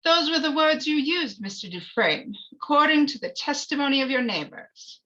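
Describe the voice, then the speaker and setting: A woman playing a pressing, sarcastic courtroom prosecutor in a dramatic screenplay reading, deliberate and accusatory, on a lo-fi recording. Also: high-pitched, expressive, sharp tone. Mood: probing. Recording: dull, lo-fi recording.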